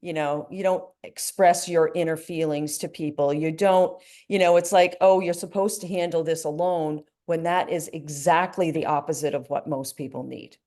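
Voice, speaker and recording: Low, mellow-voiced woman grief coach. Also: fairly even intonation, moderate pace, hardly any pauses. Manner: earnest, reflective, many "you know"s. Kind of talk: interview answers.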